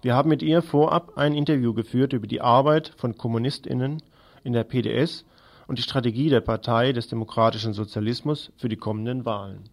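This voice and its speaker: A man's voice: mid-range voice, fairly quick, gravelly. A man reading scripted news as a radio presenter.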